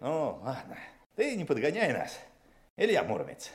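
Whiny young detective in old soviet radio drama, cajoling and blustering, really animated, echoey room. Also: male voice, mid-range voice, normal speed. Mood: reproachful.

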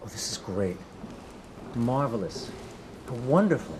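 An actor playing an effusive male art admirer in a radio play, with drawn-out delivery. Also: medium pitch, sing-song. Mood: delighted. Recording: noisy, rain-like ambience.

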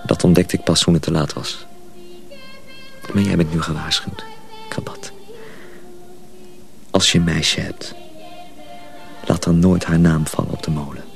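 Radio drama: a sorrowful, secretive senior mill hand, very low creaky voice, hushed and deliberate, faint music behind. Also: male voice, wildly swooping pitch, exaggerated voice. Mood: sorrowful, warning. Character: grave older journeyman mill hand, protective, sorrowful, secretive. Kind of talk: Dutch radio drama acting.

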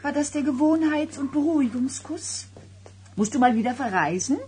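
An actress playing an agitated, suspicious and sarcastic pregnant wife of thirty-nine in a German radio drama, with a biting, questioning delivery. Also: female voice, high-pitched, animated, normal speed, mellow, slight background noise. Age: middle-aged.

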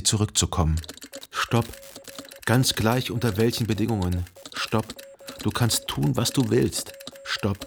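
An actor playing a brooding, jealous lover who narrates his thoughts in a literary radio drama, introspective and deliberate. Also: fairly low pitch, noisy background. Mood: desperate. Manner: introspective, deliberate, rhetorical self-questioning.

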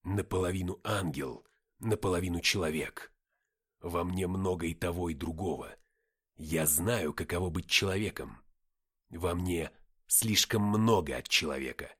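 A man voicing a proud, taunting half-angel warrior in an audiobook, with slow, emphatic delivery. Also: low voice, a bit bright, slightly rough, pitched below the performer's own voice, heavily acted. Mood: calm, smug. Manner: emphatic, slow, drawn-out declarations.

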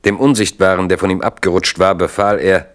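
A man's voice: low voice, little pitch movement, brisk. A man narrating a radio play in a grave, solemn storytelling manner. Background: guitar music.

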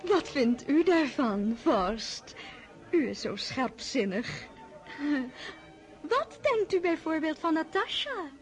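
A woman's voice: high-pitched, very animated, takes it slowly, soft background music, full character voice. An actress playing a prying young noblewoman who asks coy, gossiping questions. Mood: probing.

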